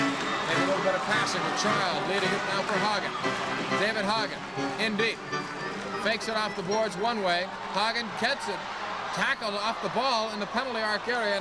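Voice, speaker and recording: High-pitched male sports announcer over roaring crowd. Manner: clipped running phrases, excited. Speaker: play-by-play announcer. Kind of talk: live soccer play-by-play commentary. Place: indoor sports arena. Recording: noisy old arena broadcast, loud crowd. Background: loud crowd and arena noise.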